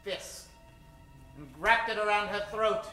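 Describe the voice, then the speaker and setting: A man's voice: sits high, slow pace, bright, forceful tone. A man narrating an old-time radio serial in a suspenseful, melodramatic style, repeating phrases for effect. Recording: echoey stage, music behind.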